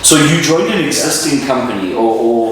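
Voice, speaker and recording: A South African man co-hosting an interview show, energetic and joking, often restarting his questions. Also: medium pitch, a little fast, a little hiss or hum. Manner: energetic, joking, repeats himself. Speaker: podcast co-host. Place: event venue indoors. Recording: echoey room, distant from mic.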